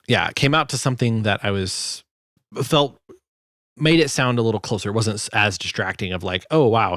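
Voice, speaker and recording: A man's voice: fairly low pitch, fairly bright tone, dry recording, studio quality. A man giving a casual, informal tutorial as a sound designer, hesitant as he thinks aloud. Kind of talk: casual screen tutorial narration.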